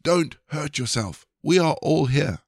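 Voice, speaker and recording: A man's voice: on the high side, lively intonation, unhurried, gravelly, dry recording, studio quality, heavily acted. A man voicing a bold, commanding apostle in a Bible story, with an emphatic, declaiming manner. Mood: urgent shouting.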